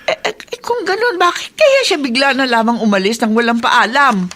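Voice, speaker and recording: A woman playing an anxious elderly grandmother in a Filipino radio drama, halting and questioning. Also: a little high, expressive, fairly bright tone.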